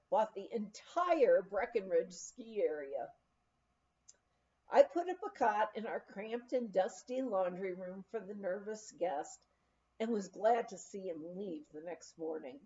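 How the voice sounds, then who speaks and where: A woman reads a memoir aloud at a leisurely pace. Her voice sits fairly high and has a soft, mellow tone. She shapes each phrase with emphasis, and the recording is a little muffled. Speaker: audiobook reader.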